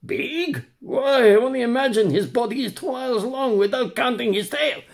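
A reader voicing a resigned, philosophical tuna fish who talks in a calm, pompous way. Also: mid-range voice, moderate pace, gravelly, voice pushed up. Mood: marveling.

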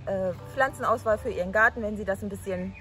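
A woman's voice: medium pitch, fast talker, pushed and bright. A middle-aged woman speaking informally and a little hesitantly outdoors about her work, as in a casual interview. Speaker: garden nursery worker. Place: outdoors in a plant nursery garden.

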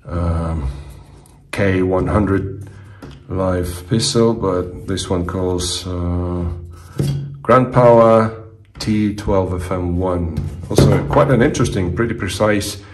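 A middle-aged man from Russia, a native Russian speaker, giving a casual, halting demonstration as an enthusiast presenter in his basement. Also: deep voice, faint music behind. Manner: halting, hesitant delivery. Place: indoor basement.

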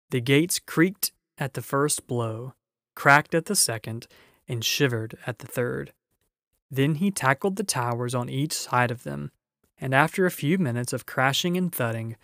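A man's voice: mid-range voice, a bit bright, dry recording. Man reading a storybook, dry sound. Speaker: audiobook reader. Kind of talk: expressive children's storybook narration.

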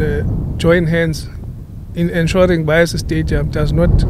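Man, South African accent, middle-aged, earnest and measured, mixes isiXhosa and English, outdoors, handheld mic, slightly high voice. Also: noisy background. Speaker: local businessman making a public appeal. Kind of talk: on-camera interview statement. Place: outdoors at an old sports stadium. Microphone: handheld reporter microphone.

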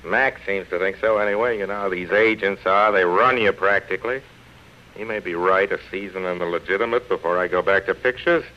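Male actor as vain middle-aged screen idol, theatrical and bitter, low register, dull vintage broadcast sound. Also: a little fast, lightly acted. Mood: boastful. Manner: theatrical, self-important. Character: vain, bitter, hard-drinking movie actor about forty. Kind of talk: 1930s-40s radio drama acting. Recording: muffled vintage radio broadcast.

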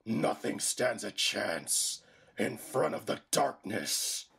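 Evil gloating anime pirate, super growly voice, slow menacing delivery, noisy audio. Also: male voice, fairly low pitch, lively intonation, a bit bright, full character voice. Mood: ominous. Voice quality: gravelly, growling. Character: gleeful, boastful hulking pirate villain wielding darkness. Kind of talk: anime fan-dub acting.

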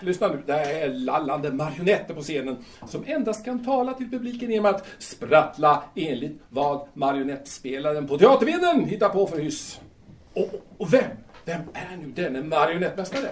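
An actor playing a grandiose, irritable drunken playwright in an audio drama, declaiming haltingly with emphatic repetitions. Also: male voice, a little high, sing-song. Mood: scornful ranting. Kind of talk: theatrical audio drama acting in Swedish. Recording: distant mic with faint noise.

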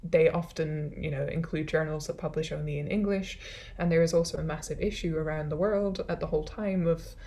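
Scottish female scholar, low register, conversational Q&A delivery, reflective and modest. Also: fairly even intonation, fairly quick, hardly any pauses, dark tone. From Scotland. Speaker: academic speaker, social science scholar. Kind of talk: conversational question-and-answer after an academic talk.

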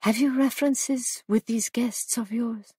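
A woman voicing a sly elderly foreign man in a storybook, gleefully courteous, with theatrical, insinuating, drawn-out phrasing. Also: on the high side, big pitch swings, darkish tone, husky, no echo, clean studio sound, pitched above the performer's own voice, full character voice. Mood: quiet warning.

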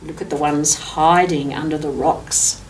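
Woman, 60s, Australian, storytime reader for small children; mid pitch, off-mic room sound with light noise. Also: fairly even intonation, measured pace. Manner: addresses young children, explaining pictures and asking questions. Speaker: mayor reading a picture book to children. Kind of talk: children's storytime read-aloud with asides. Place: furnished office room indoors, seated. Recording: distant microphone, noticeable room background noise.

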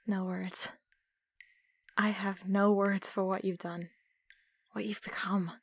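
A woman playing a betrayed, defiant captive in an audio drama, speaking with hurt, accusing, sharp-tongued emotion. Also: medium pitch, little pitch movement, slow, slightly rough. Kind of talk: audio roleplay acting.

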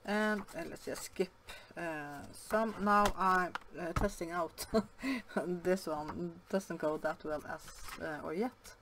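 A woman from Norway with a Norwegian accent talks slowly and a little low, stumbling over words with frequent fillers and restarts. She speaks English as a second language in a relaxed home vlog, and faint room noise sits behind her. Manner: hesitant, many fillers and restarts. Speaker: yarn vlogger. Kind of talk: casual show-and-tell vlog. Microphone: camera above the table, hands only.

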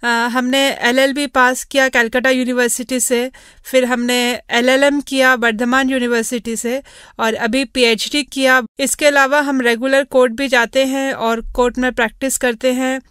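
A woman from Kolkata talks about herself in a plain, factual way. Her voice is high and crisply resonant, with a dry recorded sound. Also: level tone, normal speed, a bit bright, dry recording. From Kolkata, India. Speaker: interviewee, lawyer. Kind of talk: factual self-introduction.

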